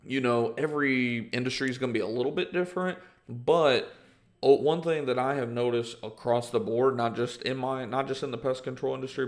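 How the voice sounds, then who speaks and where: Twenties, male, gravelly, mid pitch; chatty livestream host, rambling, full of fillers. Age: 20s. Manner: rambling, reads out comments, many fillers and restarts. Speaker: host answering viewer questions. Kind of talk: casual livestream Q&A. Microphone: livestream microphone.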